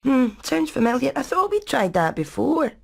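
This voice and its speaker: A woman's voice: high voice, sing-song, normal speed, vocal fry, darkish tone, husky, full character voice. A woman voicing a brainy, practical hen engineer in a video game, with a Scottish accent and a technical, matter-of-fact way of talking. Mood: thoughtful. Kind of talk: animated video game acting.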